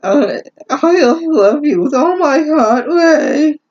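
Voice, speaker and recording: A man's voice: very high-pitched, lively intonation, slow, a little mellow, lo-fi sound, pitched above the performer's own voice, exaggerated voice. A man voicing a lovestruck anime character in a gushing, exaggerated, syrupy sweet way.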